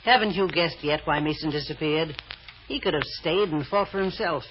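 A woman's voice: low voice, moderate pace, close to an everyday voice. An actress playing a shrewd, bossy, scheming woman in an old radio drama, clipped and sardonic. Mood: calculating. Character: shrewd, bossy woman scheming and controlling her tippling companion. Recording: dull, faintly noisy old radio recording.

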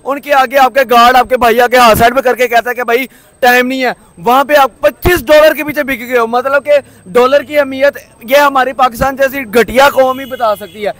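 Indignant young man, high voice. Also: fairly even intonation, brisk, fairly bright tone. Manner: indignant, scornful. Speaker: interviewee. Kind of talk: street vox pop. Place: city street at night, outdoors. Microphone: handheld mic.

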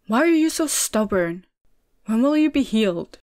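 A woman's voice: mid-range voice, steady tone, moderate pace, a little mellow, no echo, close to an everyday voice. A woman voicing a stubborn young city man, hurt and frustrated, in a storybook reading with a dry, close sound. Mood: pleading. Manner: pleading, emotional delivery.

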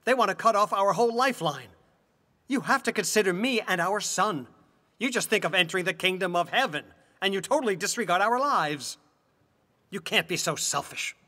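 A man's voice: high voice, lively intonation, brisk, bright, forceful tone, full character voice. A man playing an anxious middle-aged husband, pleading and exasperated, in a dubbed stage drama. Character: anxious, pleading husband afraid of losing his job. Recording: reverberant hall-like sound.

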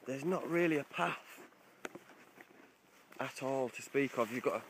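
A man's voice: mid-range voice, unhurried, a little hiss or hum, low-quality recording. A young man talking casually to a handheld phone while wild camping outdoors, chatty and swearing now and then. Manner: chatty, swearing, laughs easily. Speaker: vlogger, wild camper. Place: outdoors on a coastal hillside.

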